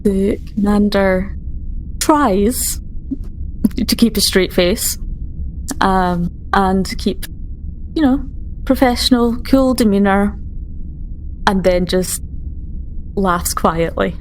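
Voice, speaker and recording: Slow-talking woman narrating a tabletop game. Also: medium pitch, a little hiss or hum, rough recording. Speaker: tabletop role-play player. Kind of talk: casual improvised game narration.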